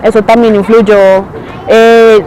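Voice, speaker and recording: A young woman recalling childhood experiences in a soft, reflective way. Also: fairly high pitch, level tone, slow pace, a little hiss or hum, poor audio. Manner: soft, reflective, mimics schoolmates. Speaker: interviewee. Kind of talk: personal testimony.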